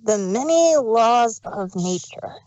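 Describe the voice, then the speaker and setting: A woman's voice: a little high, animated, slow, darkish tone, dry recording, muffled recording. A woman voicing a naive, curious and slightly sassy little boy dragon in a fan fiction reading. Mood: deadpan sass.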